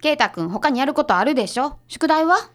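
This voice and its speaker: A woman acts a prickly detective's secretary with a Kansai accent. The voice is a little high and swings widely. The delivery is curt, brisk and bossy, in radio drama style. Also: animated. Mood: curt, huffy.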